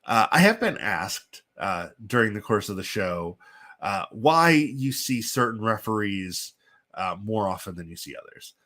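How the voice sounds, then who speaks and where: A man with a congested, sniffly voice from a chest cold, hosting and giving casual, halting play-by-play commentary on simulated wrestling. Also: fairly low pitch, animated, sharp tone. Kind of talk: casual live play-by-play commentary with chatty asides.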